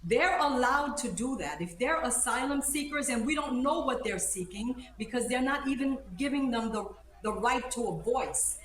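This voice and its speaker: A woman's voice: sits high, lively intonation, moderate pace, a little hiss or hum. A woman born in Haiti and raised in the United States, speaking emphatically and indignantly as an attorney guest in a live news interview. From born in Haiti, grew up in the United States. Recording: roomy, echoing sound.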